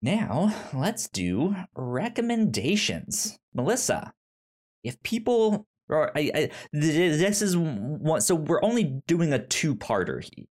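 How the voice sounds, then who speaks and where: A man hosting a podcast in casual conversation, halting and often stammering over repeated words. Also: fairly high pitch, sing-song, a little mellow. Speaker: podcast host.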